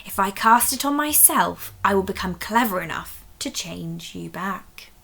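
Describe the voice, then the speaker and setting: A woman's voice: medium pitch, lively intonation, a little slow, vocal fry, a bit bright, slightly rough, heavily acted. A woman voicing eager young schoolgirl witches in a storybook, earnest and sing-song. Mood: keen, confident.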